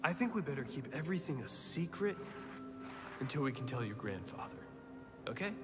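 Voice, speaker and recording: An actor playing a calm, knowledgeable, kindly mentor to children in an animated film, patient and measured. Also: male voice, medium pitch, in a large hall, over music, lightly acted. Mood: earnest, reassuring.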